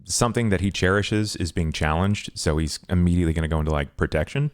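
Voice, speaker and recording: A man's voice: low voice, quick pace, clear, resonant tone. A man playing a tabletop game, casually explaining what his character does.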